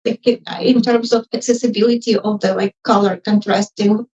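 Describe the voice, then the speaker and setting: A woman's voice: medium pitch, fairly even intonation, moderate pace, darkish tone. A woman who is not a native English speaker, giving informal feedback from the audience in a hesitant, tentative, apologetic way. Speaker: audience member, junior UX designer. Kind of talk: informal spoken feedback and questions. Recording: muffled live event audio, distant mic.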